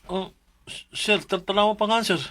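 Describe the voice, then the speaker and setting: Young cebuano delivery rider, high voice, very polite and humble, radio drama. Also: male voice, little pitch movement, normal speed, fairly bright tone. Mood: pleading. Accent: Cebuano Filipino. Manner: deferential, pleading. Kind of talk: Bisaya radio drama acting.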